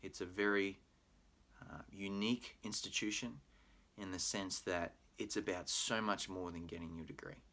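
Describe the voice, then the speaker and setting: A middle-aged Australian man with a very low voice talks prospective students through a university program. He explains things in a friendly, patient way, dropping in plenty of "uh"s. He is recorded on a webcam in a small room at home. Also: fairly even intonation, moderate pace, pushed and bright. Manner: friendly, explanatory, with frequent "uh" fillers. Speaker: pre-law program manager. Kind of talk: recorded admissions presentation. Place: home room with bookshelf, indoors.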